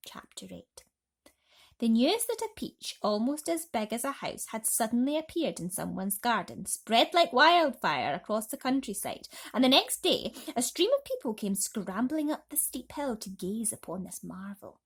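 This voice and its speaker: A woman narrating a children's bedtime story expressively, dramatically dropping into breathy, hushed tones. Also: fairly high pitch, very animated, moderate pace. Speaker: storybook reader.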